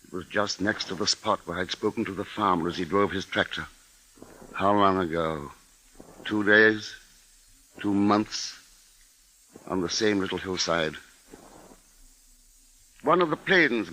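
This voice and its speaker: An actor playing a grimly resolute husband and father, narrating and acting in a deliberate, dramatic 1950s radio style. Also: low-pitched, sharp tone, heavily acted. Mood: weary, grave. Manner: brooding, deliberate, dramatic delivery. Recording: very noisy 1950s radio recording.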